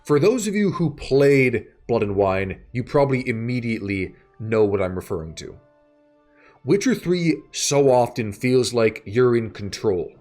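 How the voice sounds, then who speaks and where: A man narrating a scripted video essay in a measured way, pausing between short phrases. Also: mid-range voice, lively intonation, darkish tone. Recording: music bed behind the voice. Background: background music.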